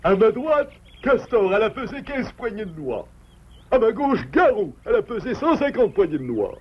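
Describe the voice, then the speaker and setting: Teasing sidekick, high, swoopy voice. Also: male voice, sing-song, moderate pace, breathy edge, dull audio, full character voice.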